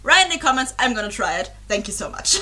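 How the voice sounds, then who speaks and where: Bright-voiced woman rambling to camera. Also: on the high side, big pitch swings, fast talker. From Germany. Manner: rambling and apologetic, many fillers and giggles. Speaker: reaction YouTuber. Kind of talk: casual chat to camera. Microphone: webcam.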